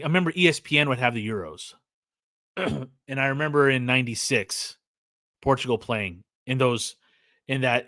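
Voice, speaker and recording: Casual American host, 40s, rambling livestream chatter, mid-range voice, dry close mic. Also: male voice, mid-range voice, sharp tone, no echo. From California, USA. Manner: rambling, lots of fillers and restarts, reads chat aloud. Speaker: podcast host.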